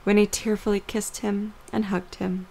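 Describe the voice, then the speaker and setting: A woman reading scripted story narration for an audio drama in a measured, creaky voice. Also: medium pitch, flat delivery, warm tone, poor audio.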